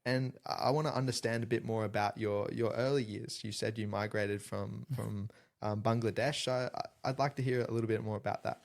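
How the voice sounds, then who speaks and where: A man hosting a podcast, posing questions in a measured, scene-setting way. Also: fairly low pitch, steady tone, creaky. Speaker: podcast host. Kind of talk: interview questions.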